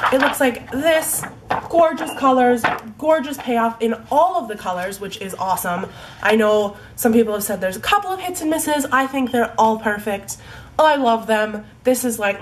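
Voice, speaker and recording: A young woman with a stuffy, congested voice from a cold, chatting casually about her favorite products, full of likes and ums. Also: a little high. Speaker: beauty vlogger. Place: home room in fading daylight.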